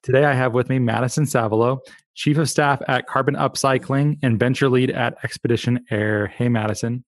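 A man hosts a podcast interview in a relaxed, chatty way, tossing in playful jokes at his own expense. His voice is close and dry on the mic, with no room sound. His pitch sits in the middle range for a man. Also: fairly quick, no echo. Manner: chatty, playful asides and self-deprecating jokes. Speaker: podcast host. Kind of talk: conversational interview.

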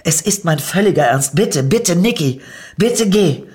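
A man voicing a nervous young wife who hides an affair, his voice husky, raised in pitch and pleading. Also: lively intonation, moderate pace, exaggerated voice. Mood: panicked. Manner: pleading, high and breathless when frightened.

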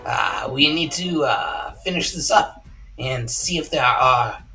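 A man's voice: mid-range voice, slow, sharp tone. A man playing a tabletop game, joking and bantering with the table. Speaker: player. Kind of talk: casual tabletop play.

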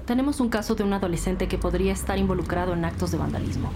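A woman's voice: on the low side, very fast, natural voice. Woman as a firm policewoman, noisy background. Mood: professional. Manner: firm, direct, professional. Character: stern, businesslike federal policewoman leading an investigation. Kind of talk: audio drama acting.

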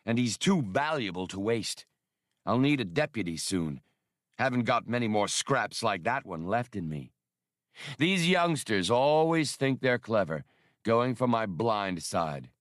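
A man acts out a battle-scarred old bull of the water, gruff and wry. He talks in folksy, clipped sentences with big swings of pitch, recorded close and dry, as a creature in an adventure audiobook. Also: a bit bright, no echo. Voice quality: gurgling.

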